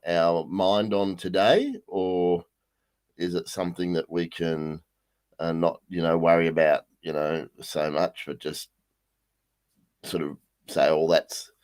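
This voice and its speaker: A male pastor with a croaky voice leading a livestreamed Bible study, halting with many ums and restarts. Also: low voice.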